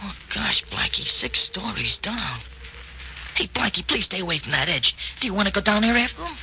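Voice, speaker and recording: An actor playing an excitable, nervous sidekick with slangy speech in 1940s radio drama style. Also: male voice, high voice, normal speed, a little hiss or hum, heavily acted. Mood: anxious. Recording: muffled old radio recording.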